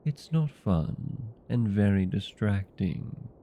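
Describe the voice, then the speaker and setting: A man playing a chatty, petty, century-old vampire in a comedic audio roleplay, talking in a wry, conversational, mildly complaining way with drawn-out words. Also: fairly low pitch, expressive, warm tone, slight background noise. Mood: mildly annoyed. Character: chatty, petty, 127-year-old male vampire being interviewed.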